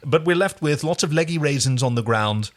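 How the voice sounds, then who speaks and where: A man's voice: fairly high pitch, animated, brisk. A man co-hosting a podcast, chatting casually and enthusiastically about a TV episode, with wry asides. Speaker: podcast co-host. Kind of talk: casual chat recapping a TV episode.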